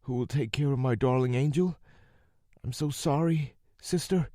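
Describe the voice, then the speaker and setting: A man's voice: fairly high pitch, moderate pace, a little mellow, dry recording, studio quality, pitched above the performer's own voice, natural voice. A man voicing a frightened young woman held captive, pleading in a raised, tearful voice.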